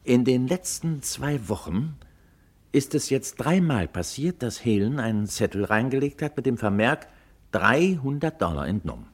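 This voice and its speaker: Well-to-do husband in vintage radio mystery: lowish husky voice, lively swoops, deliberate, puzzled explanations. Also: male voice. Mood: puzzled, explaining. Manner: deliberate, explanatory, carefully emphatic. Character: puzzled, well-off husband confiding in an old friend. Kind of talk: 1950s German radio crime drama acting.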